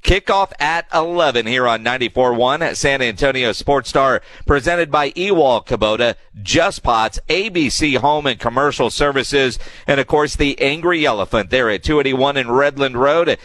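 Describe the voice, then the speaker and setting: A man's voice: medium pitch, normal speed, few pauses, a bit bright, no room sound. A man hosting sports radio in an easygoing, conversational way. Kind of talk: casual radio interview and sponsor reads.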